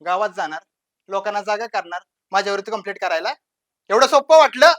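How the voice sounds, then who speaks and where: A woman's voice: medium pitch, expressive, a little fast, lightly acted. Woman acting swaggering village gangster, rural Marathi accent. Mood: threatening. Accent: rural western Maharashtra Marathi. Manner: taunting, swaggering, rhetorical questions, swinging from hushed to loud. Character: brazen, menacing village gangster, child of the village chief. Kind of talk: dramatic villain audition monologue.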